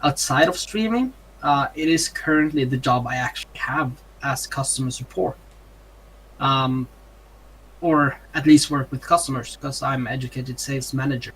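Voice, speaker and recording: This young man speaks English with a Norwegian accent, pausing often to search for words. His pitch sits in an ordinary middle range, and a faint noise runs under the recording. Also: a bit bright, poor audio. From Norway. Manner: hesitant, many ums and uhs. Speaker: streamer, interview guest. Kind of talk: casual interview answers.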